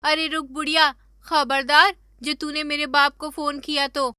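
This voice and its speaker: A cheeky, spoiled young cartoon wife acted by a woman, with a high, bright voice. She talks bluntly and with sass, recorded dry. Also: flat delivery, unhurried, dry recording. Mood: tipsy, defiant. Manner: sassy, blunt. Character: brash, cheeky rich young wife who drinks beer. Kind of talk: animated moral story dialogue.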